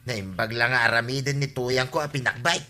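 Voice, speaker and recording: Piercing-voiced, henpecked husband. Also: male voice, medium pitch, a little fast, pushed and bright. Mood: wheedling. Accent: Ilocano. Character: hapless henpecked husband, fond of drink, wheedling. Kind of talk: Ilocano radio comedy drama acting.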